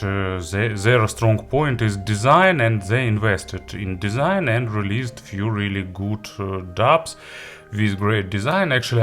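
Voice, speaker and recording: A man giving a casual gadget review and unboxing, halting and hesitant as he thinks aloud. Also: on the low side, fairly bright tone. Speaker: audio gear reviewer.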